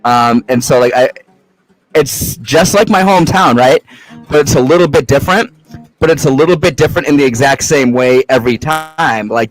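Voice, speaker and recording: A man's voice: mid-range voice, poor audio. A young adult man from Massachusetts, a podcast guest chatting casually in a loose, rambling way with frequent swearing and fillers. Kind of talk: casual chat.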